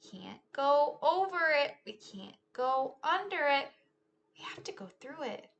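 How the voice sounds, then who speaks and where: A woman's voice: high-pitched, big pitch swings, vocal fry, dull audio. A woman reading a children's story aloud like a teacher, theatrically, drawing out key words in a sing-along chant. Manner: theatrical, drawn-out key words, shifting between hushed whispers and loud exclamations. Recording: noticeable background noise.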